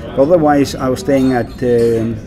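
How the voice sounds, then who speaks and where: A man's voice: medium pitch, steady tone, moderate pace, crowd noise. A Danish man working as a whisky brand ambassador, speaking English in a casual interview, with many fillers and repeated words. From Denmark.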